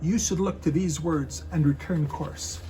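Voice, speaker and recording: A man's voice: a little high, a little slow, warm tone, faint music behind, natural voice, rough recording. A man playing a stern, loyal Roman soldier who scolds his comrade in a declamatory way, in a dramatic reading. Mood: irritated, scolding. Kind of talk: dramatic reading of a church passion play.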